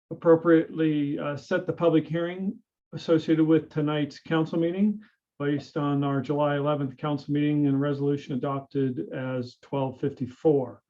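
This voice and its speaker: A man, a public works director, presenting a report at a city council meeting in a measured way, pausing often with "uh" and "um". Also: a little high, mellow, muffled recording. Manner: measured, hesitant with frequent fillers.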